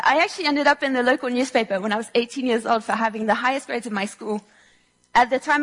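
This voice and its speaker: A woman's voice: a little high, fairly bright tone, in a large hall, poor audio. A young woman from Switzerland giving a prepared talk to an audience in a room, telling personal stories with earnest delivery and a touch of dry humor. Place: lecture room before an audience.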